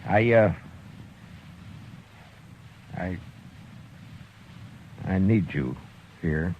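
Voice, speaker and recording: An American actor playing a battered, gruff but loyal frontier lawman in a halting manner in a 1950s radio western. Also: sits low, expressive, exaggerated voice. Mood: awkward, sincere. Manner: halting, repeats words. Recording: muffled, noisy 1950s radio recording.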